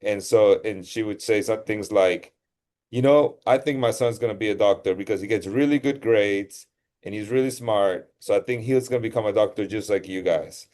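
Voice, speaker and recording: A middle-aged man from Costa Rica, a surgeon telling his life story in a relaxed, rambling way as an interview guest. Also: on the low side, normal speed. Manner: relaxed, rambling, self-correcting.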